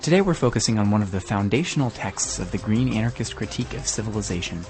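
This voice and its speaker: A man's voice: lowish voice, expressive, quick pace, a little mellow, soft background music. A man reading scripted narration as a podcast co-host.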